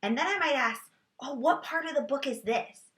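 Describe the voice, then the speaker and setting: A woman teacher demonstrating a children's read-aloud, moving between explanation and expressive, sing-song reading with questions for a child. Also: sits high, moderate pace. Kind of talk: read-aloud lesson with reading tips for parents. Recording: roomy echo.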